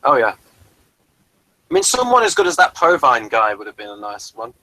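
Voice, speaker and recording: Bright, animated man chatting about television. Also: mid-range voice, moderate pace, vocal fry, dry recording, rough recording. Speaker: host of a TV review show. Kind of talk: casual review chat.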